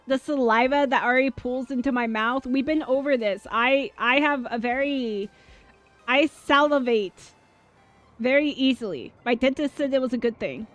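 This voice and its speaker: A woman streaming a game, chatting casually with viewers, excitable and swearing freely. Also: a little high. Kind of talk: casual livestream chat over gameplay. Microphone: dynamic mic. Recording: dull mic with faint game music.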